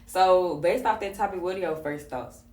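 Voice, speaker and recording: A woman hosting a podcast, talking in a relaxed, conversational way with plenty of fillers. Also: lowish voice, normal speed, reverberant, far from the mic. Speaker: podcast host. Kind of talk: casual conversation.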